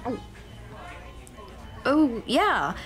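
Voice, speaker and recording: A woman's voice: sits high, extremely slow, faint music behind, full character voice. A woman voicing a cheerful headless Dullahan on a date in a scripted ASMR roleplay, chatting playfully and directly to the listener. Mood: chatty, explaining. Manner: playful, animated, punning, speaking directly to the listener.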